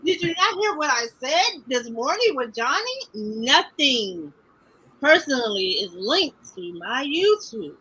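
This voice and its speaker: A woman's voice: high-pitched, very animated, a little slow, sharp tone, a little hiss or hum, low-quality recording. A woman from Maryland co-hosting a livestream, chatting casually and repeating herself as she talks. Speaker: co-host of a livestream.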